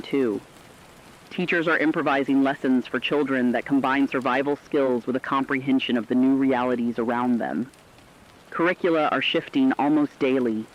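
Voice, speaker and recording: A man playing a solemn, measured radio news anchor delivering formal scripted commentary during an apocalypse. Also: mid-range voice, natural voice. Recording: dull lo-fi audio with rain noise.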